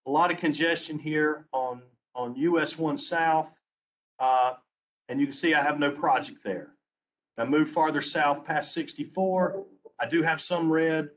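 A man presenting on a webinar as a transportation planner, losing his voice and talking haltingly, restarting his words. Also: a little high, fairly bright tone, slight background noise, poor audio. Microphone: video call.

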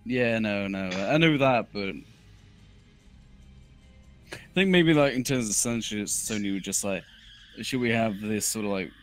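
A man's voice: lowish voice, a little slow, pauses often. A man giving casual, joking commentary on video game cutscenes. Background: game cutscene music.

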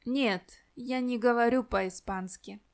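A woman reads short model answers for learners, slowly and deliberately. Each reply is plain, careful and scripted. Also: fairly high pitch. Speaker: model answerer in language lesson. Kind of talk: slow scripted example answers.

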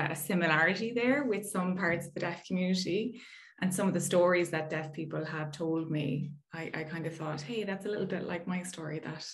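A woman in her thirties from Ireland with an Irish accent, giving a friendly, chatty research talk over a video call with frequent fillers. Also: on the low side. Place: indoor room at home.

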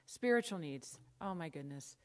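A middle-aged American woman with a low voice preaching a sermon from a church pulpit. She talks conversationally, with asides and emphatic lists, into a lapel mic. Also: animated, normal speed, a little mellow. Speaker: pastor.

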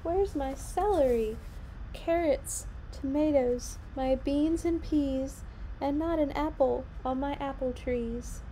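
A heartbroken storybook gardener played by a woman laments in a high, plaintive voice. The words are dragged out slowly and mournfully, over some background noise. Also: warm tone, low-quality recording. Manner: plaintive, drawn-out wailing. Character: heartbroken gardener lamenting his ruined garden, adult man. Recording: noticeable background noise, home recording.

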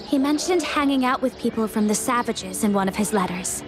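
Actress as young game heroine, high-pitched, earnest, worried yet plucky, slightly hesitant, music in background. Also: female voice, lively intonation, fairly quick, natural voice. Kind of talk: video game character dialogue.

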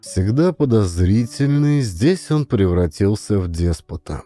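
A man reading classic literature as an audiobook, with measured, expressive, dramatic narration. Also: on the low side, soft background music.

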